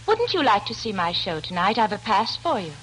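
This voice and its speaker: An actress playing a spirited, kindly young showgirl with crisp, polite delivery in 1940s radio drama style. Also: mid-range voice, sing-song, fairly quick, fairly bright tone, slight background noise, rough recording. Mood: bright, excited. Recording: old 1940s radio broadcast with music.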